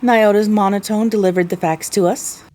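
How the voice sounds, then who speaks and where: A woman's voice: mid-range voice, steady tone, normal speed, dark tone. A woman narrating a scripted first-person story.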